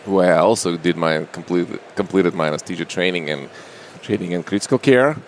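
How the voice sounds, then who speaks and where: A middle-aged man with a very low voice and a Russian accent answers questions conversationally as an interview guest. There is noticeable ambient noise behind him, like a busy public place with traffic. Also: brisk. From Russia, Saint Petersburg.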